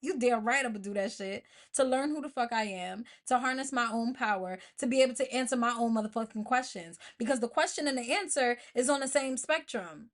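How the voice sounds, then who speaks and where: A woman's voice: a little high, a little fast, few pauses. An American woman hosting an informal motivational podcast solo, speaking colloquially and emphatically, repeating phrases to drive them home. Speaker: podcast host.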